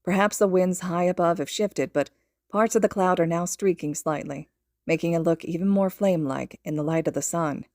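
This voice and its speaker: A woman's voice: fairly low pitch, moderate pace, a little mellow, dry recording, studio quality. A woman reading scripted fiction aloud as a podfic narrator. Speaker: podfic reader and host.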